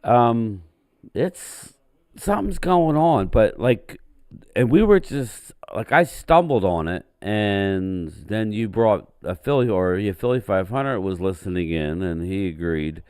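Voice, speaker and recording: A man co-hosting a casual livestream chat, with a deep voice made raspy by a chest cold, talking haltingly with many fillers. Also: lively intonation, a little mellow, no echo, studio quality. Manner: halting, frequent fillers and restarts. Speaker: co-host.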